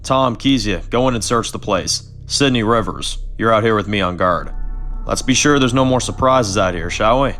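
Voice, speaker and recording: A man voicing a measured, understated male leader who was once a prison guard. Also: on the low side, a bit bright, soft background music, natural voice. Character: gruff, modest former prison guard leader.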